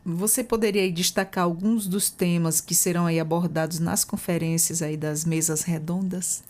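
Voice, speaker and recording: A woman's voice: on the low side, steady tone, moderate pace, darkish tone. A woman with a Brazilian Portuguese accent hosting an interview podcast, asking questions and chiming in with short agreements. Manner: affirming, frequent short agreements.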